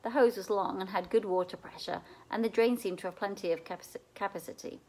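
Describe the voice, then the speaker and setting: A woman reads a children's novel aloud in the manner of a library storyteller. Her voice sits at a middle pitch, and she tells the story expressively. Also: fairly even intonation, fast talker, darkish tone, low-quality recording. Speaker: reader at a library read-aloud.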